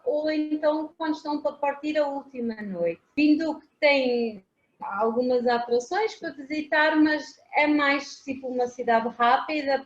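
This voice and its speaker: A high-pitched woman with a European Portuguese accent talks about safari routes over a video call. She hesitates a lot and keeps filling gaps with "pronto". The sound is roomy and dull, like lo-fi call audio. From South Africa. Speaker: safari company manager. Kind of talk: interview answers and route presentation.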